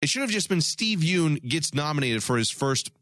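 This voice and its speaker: A man's voice: on the high side, very animated, moderate pace, fairly bright tone, no echo, studio quality. A man co-hosting a podcast, joking and swearing through crude comedic banter. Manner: joking, laughs often, swears. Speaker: podcast co-host.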